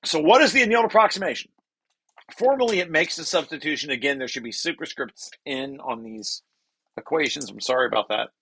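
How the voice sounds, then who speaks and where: A man's voice: fairly high pitch, fairly quick, a bit bright, husky, rough recording. A man presenting a research paper draft informally to colleagues, repeating and rephrasing key phrases as he explains.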